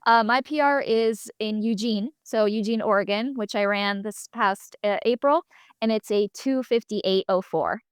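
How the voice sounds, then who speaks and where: A woman's voice: medium pitch, measured pace, clean tone, no room sound, clean studio sound. A woman in her twenties, raised in Italy and Spain, speaking with an American accent as a podcast guest, telling her story in a chatty way. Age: 20s. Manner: chatty, storytelling with quoted asides. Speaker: podcast guest, marathon runner. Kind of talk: casual interview chat.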